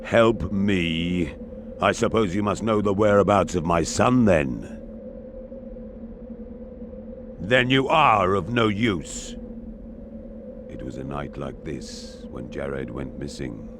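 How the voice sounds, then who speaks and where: Very deep, imperious warrior king. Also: background noise. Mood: bitter. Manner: slow, commanding, scornful. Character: stern, imperious warrior king, proud and brooding. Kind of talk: video game cutscene acting.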